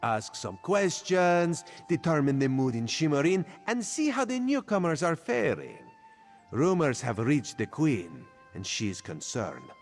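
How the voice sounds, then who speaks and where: Sly feline secret agent, playful and coaxing, hugely animated pitch, game dialogue over music. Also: male voice, a little slow. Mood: businesslike, wry. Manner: speaks of himself in third person, coaxing. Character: sly male cat-folk spy, playful agent of a queen. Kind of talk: video game character dialogue.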